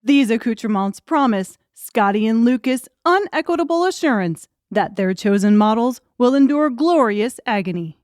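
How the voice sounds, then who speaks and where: A woman's voice: fairly high pitch, lively intonation, normal speed, few pauses, a little mellow, no room sound, clean studio sound. A woman reading scripted audiobook narration as the storyteller.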